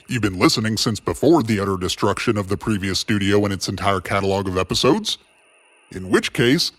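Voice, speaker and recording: A man's voice: mid-range voice, a bit bright. A man delivering a theatrical scripted monologue as a show host, in a wordy, playful, grandiloquent manner. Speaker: host of a fiction podcast.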